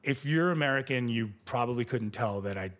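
A man's voice: medium pitch, expressive, a little fast, a bit bright. A man from Mexico whose first language is Spanish, a podcast guest telling his story in a loose, rambling way. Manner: rambling, full of 'you know' and 'like' fillers. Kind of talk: casual interview chat.

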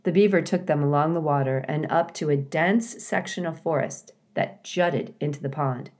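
Low-voiced woman reading a story aloud. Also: moderate pace, darkish tone. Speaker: storybook reader. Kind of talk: expressive read-aloud narration of a children's novel.

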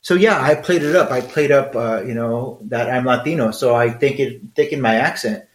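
Man, Mexican accent, middle-aged, mid-range pitch, frank rambling podcast talk, echoey room. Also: normal speed. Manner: frank, conversational, rambling with asides. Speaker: podcast host. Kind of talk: solo podcast monologue.

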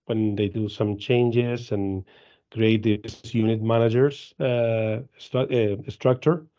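A man in his fifties from Argentina with an Argentine Spanish accent, answering interview questions in halting English with many repeats and fillers. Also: lowish voice, steady tone, mellow, lo-fi sound. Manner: halting, frequent repeats and "uh", laughs easily. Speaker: guest, dairy genetics business director.

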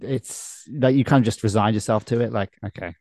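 A man's voice: on the low side, brisk, a little mellow, dry recording. A man hosting a soccer podcast, chatting casually and animatedly, often repeating his phrases. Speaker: podcast host. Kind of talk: casual chat about soccer.

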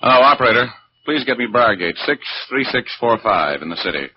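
An actor with an American accent playing a wry, persistent private detective in a 1940s radio drama, both in scenes and narrating. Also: male voice, medium pitch, sing-song, fairly quick, natural voice. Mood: casual. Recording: muffled, noisy 1940s radio recording.

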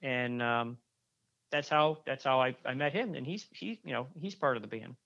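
A man's voice: medium pitch, normal speed, vocal fry, fairly bright tone. Man, casual interview chat, lots of restarts, muffled lo-fi sound. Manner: rambling, many restarts and fillers. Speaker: guest musician.

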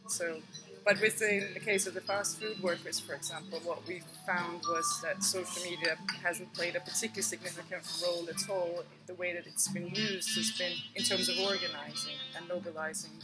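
Thoughtful lower-voiced woman professor. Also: fairly even intonation, over loud music, rough recording. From Denmark. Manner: thoughtful, measured, many fillers. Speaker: professor, guest. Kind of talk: podcast interview. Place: tea room.

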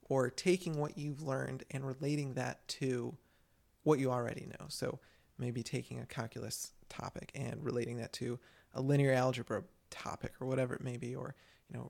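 Male podcast co-host, gravelly mid-pitched voice, loose rambling chat. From United States. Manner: chatty, rambling with many restarts. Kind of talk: casual chat.

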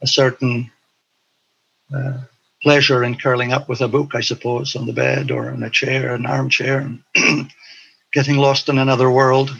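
An older man reflecting on his past in an interview, speaking in a hesitant, halting way. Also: medium pitch, level tone, vocal fry, fairly bright tone, husky, lo-fi sound. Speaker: interview guest, former teacher abroad. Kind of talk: recollection in an interview.

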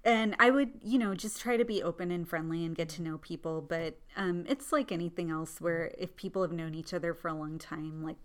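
A woman who grew up in Southern California, a podcast guest telling her life story in an earnest, reflective way with frequent small pauses. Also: on the low side, fairly even intonation. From Southern California, born in India. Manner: earnest, thoughtful, often pauses with "like" and soft laughs. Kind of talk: reflective personal storytelling in conversation.

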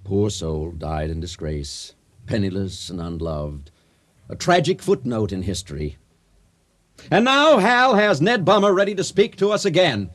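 A man's voice: on the low side, very animated, measured pace, a bit bright, heavily acted. A man acting as a theatrical, showman-like narrator of a science fiction radio drama. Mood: mournful, announcing. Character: folksy, theatrical storyteller presenting a space tale.

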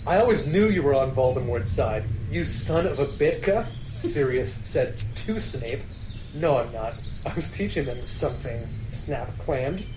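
A man's voice: medium pitch, expressive, unhurried, background noise. A man co-hosting a podcast, reading fan fiction dramatically and bantering casually, often amused as he goes. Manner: often breaking into laughter while reading. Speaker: podcast co-host.

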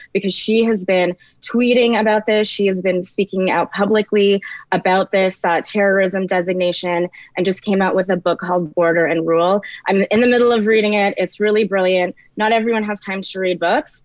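A woman's voice: medium pitch, no echo, dull audio. A woman giving analytical commentary as a guest, explaining and answering her own questions, over a video call. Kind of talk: conversational political commentary.

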